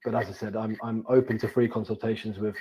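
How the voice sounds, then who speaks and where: A man's voice: on the low side, little pitch movement, fast talker, warm tone, a little hiss or hum. A man with a southern English accent giving an informal webinar presentation in a conversational way, now and then repeating words as he goes. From Cambridge, England. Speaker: wealth manager presenting as guest. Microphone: online webinar call.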